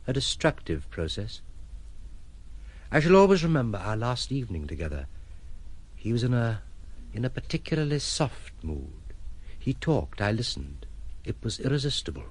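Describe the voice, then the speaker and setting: An actor playing a courteous, detached, melancholy gentleman wanderer in an audio drama, formal and reflective in manner. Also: mid-range voice. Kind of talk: audio drama acting, first-person reminiscence.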